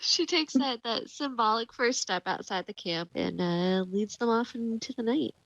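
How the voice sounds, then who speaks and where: A woman's voice: a little high, expressive, normal speed, fairly bright tone, highs cut off, a little hiss or hum. A woman talking casually as a player in a tabletop role-playing game. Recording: music under the voice.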